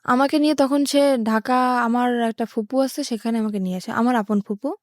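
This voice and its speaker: A woman's voice: a little high, fairly quick, a little mellow, no echo, studio quality. A young woman with a Bangladeshi accent, telling her life story as an interview guest.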